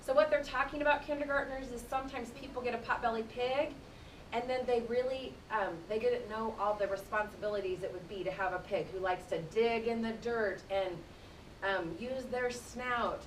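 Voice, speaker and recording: A woman teacher reading aloud to a class of children, explaining things conversationally and addressing them directly. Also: moderate pace. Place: indoor room, speaking to a class. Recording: roomy, distant mic with rumbling noise.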